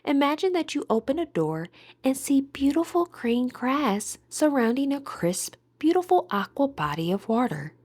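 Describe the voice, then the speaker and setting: A woman guiding a meditation in a soothing, deliberate manner with gentle pauses. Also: high-pitched, sing-song, no room sound. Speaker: meditation guide. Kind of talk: guided meditation narration.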